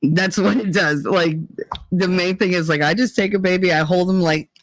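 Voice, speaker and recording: A middle-aged woman with a deep, chesty voice, hosting a livestream in casual, profane chat while reading viewer comments. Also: normal speed, dry recording, poor audio. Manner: chatty and profane, rambling with many fillers. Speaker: livestream host.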